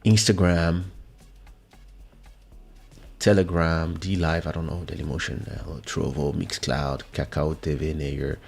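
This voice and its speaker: A man hosting a casual livestream, thinking aloud in an unhurried way with frequent pauses and muttering, close on a podcast microphone. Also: extremely low pitch, little pitch movement, gravelly, breathy edge, soft background music. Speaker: livestream host and musician.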